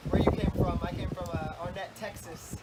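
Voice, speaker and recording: Guy acting easygoing texan drifter, highish hesitant voice, few words, really windy recording. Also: fairly even intonation, moderate pace, lightly acted. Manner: hesitant, laconic, colloquial. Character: easygoing, reticent Texan male wanderer. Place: outdoors in wind. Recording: windy outdoor noise, clicks, distant mic.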